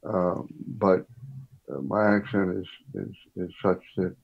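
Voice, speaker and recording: An older man with a husky, gravelly voice speaks as an interview guest. His phrasing is measured and a little formal. The sound is dull and lo-fi. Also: low voice, flat delivery. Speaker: professor guest. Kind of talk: reflective interview talk.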